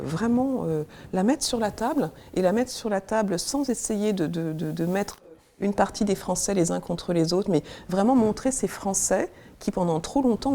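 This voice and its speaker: A woman's voice: lowish voice, dark tone, a little hiss or hum. A woman from Congo, a senior civil servant, speaking earnestly as a guest in a studio discussion and searching for words as she goes.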